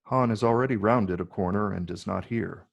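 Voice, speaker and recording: A man reading stage directions as scripted narration in a measured, descriptive way. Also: fairly low pitch, creaky, husky. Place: virtual read, recorded remotely.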